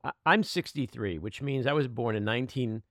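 A passionate man in his sixties speaks bluntly and swears freely in a casual political chat. His pitch sits in a middle range for a man. The sound is close and dry, with no room around it. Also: a little fast, no echo. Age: 60s. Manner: self-mocking about his age. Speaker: podcast host.

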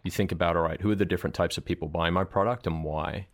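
A man with a very low voice talks through ideas in a relaxed podcast interview. He is thoughtful and explanatory, and often pauses with an um or uh as he works out his point. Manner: thoughtful, explanatory, frequent ums and uhs. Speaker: guest, marketing director.

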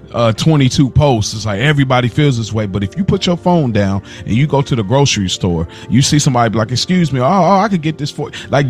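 A man co-hosting a podcast, chatting casually and reflectively in a conversational way. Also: mid-range voice. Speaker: podcast co-host. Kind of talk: casual chat.

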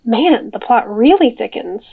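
A woman's voice: fairly high pitch, animated, normal speed, creaky, breathy edge, full character voice. A woman acting as a friendly, teasing mom in an audio drama, talking casually through a phone call filter. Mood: curious, playful. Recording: dull, band-limited phone call sound.

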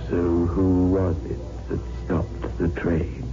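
A man's voice: low-pitched, flat delivery. An actor playing a macabre, slyly amused host of horror tales on 1960s radio, teasing and drawing out his words. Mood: sly, ominous. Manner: slow, drawn-out, teasing delivery. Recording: dull old radio recording, music behind.

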